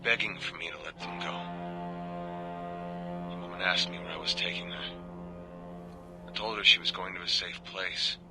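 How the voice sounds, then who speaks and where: An actor playing a world-weary government agent, confiding troubled reports in measured video game audio logs. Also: male voice, low voice, steady tone, over music, lightly acted. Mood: remorseful.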